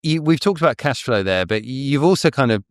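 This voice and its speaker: A man's voice: medium pitch, animated, a little fast, dry recording, clean studio sound. A man hosting a podcast interview, talking in a laid-back, chatty way with lots of "yeah" and small chuckles. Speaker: podcast host. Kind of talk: casual interview chat.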